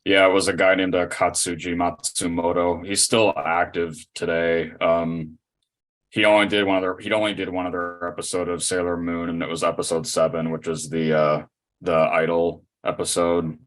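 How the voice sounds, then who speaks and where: A man in a casual podcast chat, explaining things in a halting way. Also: low-pitched, level tone, fairly bright tone.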